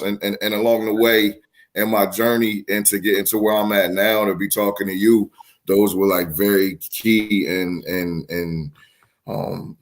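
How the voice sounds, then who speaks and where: A young man with a very deep, low-pitched voice talks through an interview. He stops and restarts often, filling gaps with ums. He comes across as a guest telling his story in plain talk. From Philadelphia. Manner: hesitant, repeats words with many ums. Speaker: guest, promotion company CEO.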